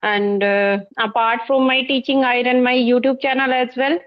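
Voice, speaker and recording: A woman with an Indian accent hosts a relaxed practice conversation, speaking in a slightly high voice. She pauses often on "uh" as she searches for words. The recording sounds dull and lo-fi. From Haryana, northern India. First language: Hindi. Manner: hesitant, many uh fillers. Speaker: teacher and channel host.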